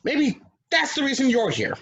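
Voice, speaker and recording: A man's voice: very high-pitched, huge pitch swings, normal speed, creaky, a bit bright, highs cut off, exaggerated voice. A man acting a sardonic, troubled, blunt loner at a stage play table reading. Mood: taunting. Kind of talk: stage play table read, naturalistic dialogue.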